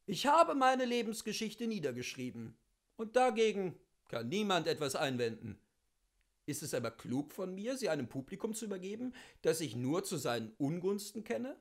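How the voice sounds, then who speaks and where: A man giving an expressive, theatrical reading of a literary memoir, with deliberate phrasing and emphatic pauses. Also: high-pitched. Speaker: reader of literature.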